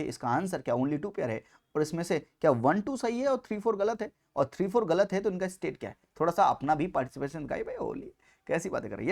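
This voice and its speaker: A male coaching teacher delivers an explanatory lecture with very lively, swinging intonation. He stresses key phrases, repeats them for emphasis and keeps throwing rhetorical questions at his students. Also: fairly high pitch.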